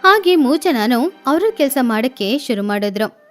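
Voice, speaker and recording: A woman narrates a scripted children's story. Her voice is high-pitched, and soft music plays behind her. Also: animated, moderate pace.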